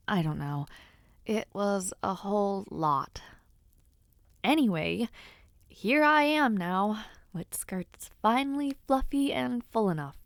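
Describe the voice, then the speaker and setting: A young noblewoman, played by a woman in an intimate audio roleplay, talks slowly and playfully in a close, dry recording. She is teasing yet bashful, pausing hesitantly, with a creaky fry in her voice. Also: mid-range voice, lively intonation, no echo. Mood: playfully exasperated. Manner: playful, hesitant, with pauses and sighs. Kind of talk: intimate audio roleplay, one-sided conversation.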